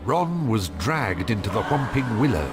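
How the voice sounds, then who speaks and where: A man narrating a wizard adventure game in a measured, suspenseful storytelling style. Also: fairly low pitch, very animated, loud music behind the voice. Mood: dramatic narration. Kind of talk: scripted dramatic game narration.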